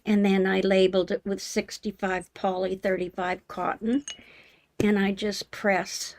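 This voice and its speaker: Slow, unhurried older woman, dry sound. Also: mid-range voice, little pitch movement, a little mellow, dry recording. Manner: unhurried, homey, many ums while she works. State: slightly hoarse. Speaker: sewing channel host. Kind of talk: live sewing demonstration with viewer chat. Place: home sewing room.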